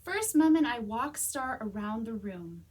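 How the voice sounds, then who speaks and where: A woman's voice: on the high side, lively intonation, measured pace, darkish tone, breathy edge. A woman hosting a children's storytime, reading aloud expressively and speaking to young listeners. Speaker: library storytime host.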